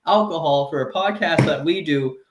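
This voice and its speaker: A man in his thirties co-hosting a comedy podcast, ranting in animated, profane casual chat and laughing as he talks. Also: on the high side, moderate pace, reverberant, background noise. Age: 30s.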